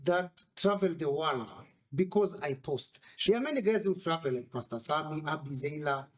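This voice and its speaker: Man, high voice, animated storyteller, broken English, guest biker. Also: moderate pace. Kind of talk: casual interview chat.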